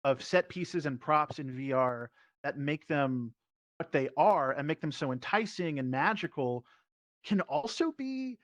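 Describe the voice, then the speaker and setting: A man's voice: a little high, lively intonation, a little slow, no room sound. A man speaking as a panelist in a casual discussion, feeling out his thoughts with some repeated words. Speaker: panelist, VR theatre performer. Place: online VR voice chat.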